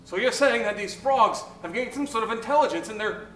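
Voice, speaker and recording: Radio-play cabbie, male actor: eager, overdramatic, very high-pitched, in an echoey room. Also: animated, fairly quick, pushed and bright. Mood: earnest, worried. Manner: melodramatic. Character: dramatic, eager male taxi driver. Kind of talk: comic old-time radio acting. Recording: roomy stage recording with music behind.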